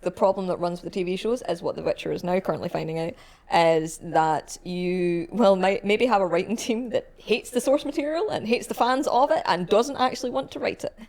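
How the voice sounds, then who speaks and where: A woman's voice: mid-range voice, brisk, gravelly, a little mellow. A woman talking informally as a panellist on a podcast. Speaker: podcast panellist, game shop staff. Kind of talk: casual chat.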